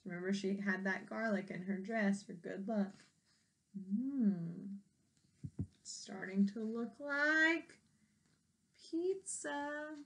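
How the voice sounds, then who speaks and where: A woman reading a children's story aloud expressively, pausing in a teacherly way to ask young listeners simple questions. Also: mid-range voice, vocal fry, a bit bright. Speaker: storybook read-aloud reader.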